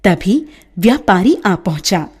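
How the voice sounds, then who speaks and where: A woman narrating a children's story in a scripted storybook style, with an expressive, lilting delivery. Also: medium pitch, measured pace, slightly rough.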